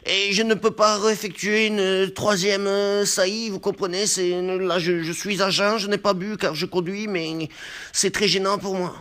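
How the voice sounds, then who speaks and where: A man playing an embarrassed, formal truck driver on a deadpan prank call, halting and over-polite as he confesses. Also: high voice. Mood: pleading, flustered. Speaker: prank caller.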